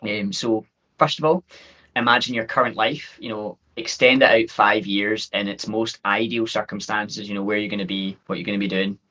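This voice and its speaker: A man in his thirties with a thick Scottish accent, giving an upbeat, quick webinar talk full of fillers and restarts. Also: on the low side, steady tone, bright, forceful tone. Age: 30s. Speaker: guest speaker. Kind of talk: webinar presentation. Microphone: video call. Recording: dull lo-fi call audio.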